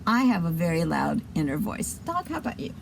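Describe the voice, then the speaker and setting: A woman's voice: on the low side, sing-song, fairly quick, vocal fry, a little hiss or hum. A woman hosting a children's show and telling a story, with chatty asides to her listeners.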